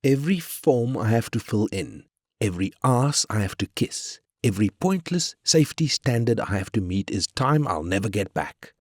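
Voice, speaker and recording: A man's voice: fairly low pitch, expressive, normal speed, no echo, studio quality. A man playing a ruthless, arrogant corporate tycoon, brash and cynical, in scripted video game lines. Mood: aggrieved, scornful. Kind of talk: scripted game character quotes.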